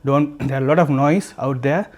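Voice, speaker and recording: A man's voice: mid-range voice, normal speed. Earnest Sri Lankan man, podcast guest. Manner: earnest, repeats and restarts phrases. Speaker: guest, candle business founder. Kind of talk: podcast interview, casual.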